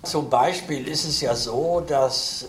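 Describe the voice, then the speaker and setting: A man from Cologne answering interview questions in a measured, lecturing way, with asides and restarts. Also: medium pitch, roomy sound, a little hiss or hum, low-quality recording. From Cologne, Germany. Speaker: interview guest.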